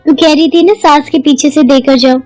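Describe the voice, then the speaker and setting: A woman's voice: sits high, fairly even intonation, moderate pace, a little mellow, pitched above the performer's own voice, natural voice, poor audio. A woman voicing a chummy, gossipy neighbour in a cartoon story, chatty and conspiratorial. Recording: music behind the voice.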